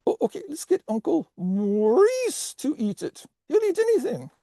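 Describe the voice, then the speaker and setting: A man voicing a cheerful, chatty talking potato salad with an exaggerated French accent and an excitable, theatrical manner. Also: very high-pitched, moderate pace, dry recording, studio quality, pitched above the performer's own voice, exaggerated voice. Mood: playful, eager.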